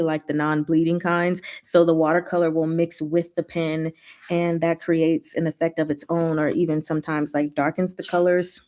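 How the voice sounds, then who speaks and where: Expressive woman chatting casually, lower-pitched voice. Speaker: livestream host answering chat. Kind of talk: casual rambling chat with viewers.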